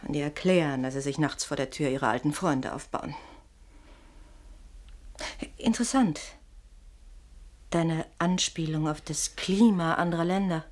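A woman's voice: sits low, measured pace, pauses often, darkish tone. An actress playing a shrewd, insistent woman in a German radio play, pressing her point with persuasive, pointed irony. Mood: ironic, probing.

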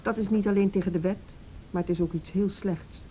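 A woman playing a reassuring youth officer in a radio play, measured, direct and patient. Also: fairly even intonation, warm tone, natural voice, rough recording. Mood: calm, serious. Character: calm, firm, reassuring policewoman of the youth department. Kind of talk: Dutch radio play acting. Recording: faint hiss and low rumble.

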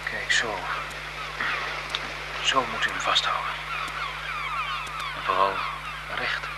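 An actor playing a shy, deferential seaside photographer in a 1970s Dutch radio play, halting and courteous. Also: male voice, on the low side, steady tone. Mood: shy, hesitant. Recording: 1970s radio drama with loud background noise.

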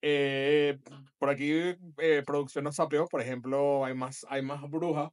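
A man co-hosting a podcast in casual chat, jokey and quick with a quip. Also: on the high side, level tone. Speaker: podcast co-host.

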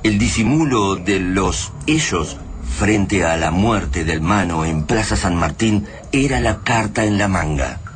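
A man's voice: low voice, animated, takes it slowly, slight background noise. A man delivering scripted narration for a radio drama. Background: music.

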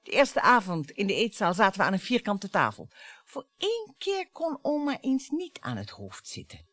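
An actress in a radio play playing a bitter, provocative wife who dwells on words theatrically. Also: female voice, medium pitch, huge pitch swings, moderate pace, a bit bright, no echo. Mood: brooding. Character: bitter, taunting wife dredging up old family grievances.

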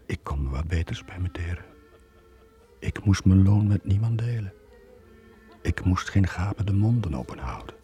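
An actor playing a bitter, lonely middle-aged sailor in a radio drama, brooding and confessional. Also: male voice, sits low, animated, moderate pace, long pauses, mellow, soft background music. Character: bitter, lonely long-serving merchant sailor Joon. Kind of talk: 1980 radio drama acting.

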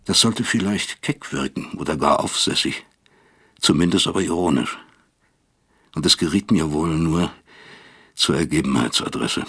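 An extremely deep, creaky and husky male narrator in a radio play. He broods like a remorseful father, reflective, literary and bitter. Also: normal speed, long pauses, a bit bright, full character voice. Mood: bitterly reflective. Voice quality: gravelly, husky. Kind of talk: radio drama first-person narration.

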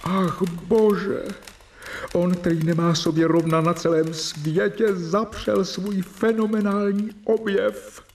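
An actor playing an earnest, devoted doctor, speaking solemnly and rhetorically in a radio drama. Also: male voice, sits high, steady tone, darkish tone, a little hiss or hum. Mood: dismayed. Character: earnest, devoted doctor, awed assistant confiding his diary.

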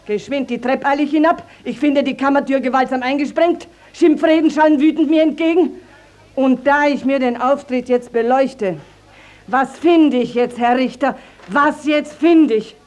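A woman's voice: sits high, normal speed, a little mellow, natural voice. An actress playing a proud, indignant middle-aged village mother in a radio play, telling her grievance emphatically and dramatically. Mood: outraged, dramatic. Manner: emphatic, declamatory, dramatic storytelling. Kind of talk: classic stage-style radio drama acting.

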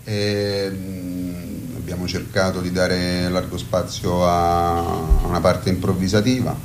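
A man, a musician in a casual radio interview, talking haltingly with a tongue-in-cheek manner. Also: sits low, level tone, background noise. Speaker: musician and performer being interviewed. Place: radio studio.

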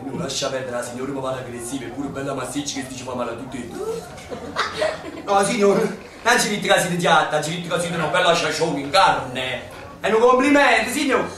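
A man's voice: a little high, expressive, fairly quick, noisy background, close to an everyday voice. A man playing a joking Neapolitan youth under the bombs. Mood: bantering. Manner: loud, exclaiming, jesting. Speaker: stage actor. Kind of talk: theatrical radio play acting. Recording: echoey distant stage recording with music.

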